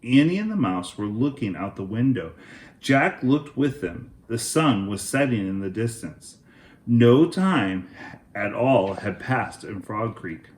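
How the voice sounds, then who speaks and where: Slow, expressive man in an echoey room. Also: on the low side. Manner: deliberate, expressive. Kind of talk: children's storybook narration.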